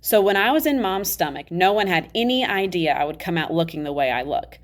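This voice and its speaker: A woman's voice: lowish voice, animated, a little fast, lightly acted. Woman reading as a candid ten-year-old boy. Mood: fond, amused. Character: ten-year-old boy narrator, candid, self-aware, matter-of-fact. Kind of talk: children's novel read-aloud.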